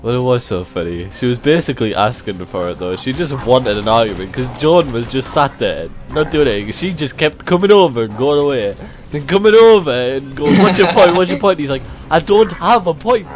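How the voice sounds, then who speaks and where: A man's voice: medium pitch, expressive, fairly quick, soft background music. A young man chatting casually while gaming, telling anecdotes and giving opinions. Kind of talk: casual chat while playing a game.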